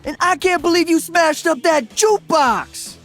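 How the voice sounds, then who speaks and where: A man's voice: very high voice, normal speed, a bit bright, faint music behind. An actor voicing an upbeat, cocky young male DJ hero in a video game, breezy, exuberant and slangy. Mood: upbeat, friendly. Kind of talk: video game character voice lines.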